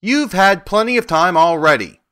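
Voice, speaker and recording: A man voicing an indignant, heartsick man made of tin in a storybook, demanding and forceful. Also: mid-range voice, animated, moderate pace, pitched above the performer's own voice. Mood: angry.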